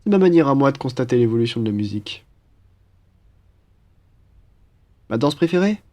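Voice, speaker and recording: A man's voice: mid-range voice, expressive, racing, darkish tone, lightly acted. Man acting as young male roommate, cheerful, bantering, chatty, mid-range voice, casual audio roleplay. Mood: playful, teasing. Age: young adult. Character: cheerful, teasing young male student roommate, aspiring chef. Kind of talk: ASMR audio roleplay, casual one-sided chat.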